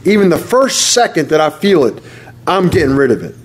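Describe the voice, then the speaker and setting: A man preaching to a congregation and praying aloud fervently, calling on the Lord again and again and reading scripture slowly. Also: fairly high pitch, sing-song. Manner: fervent, repeats "Lord" often; reads scripture slowly and deliberately. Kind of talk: sermon and spoken prayer. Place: church service with congregation responding.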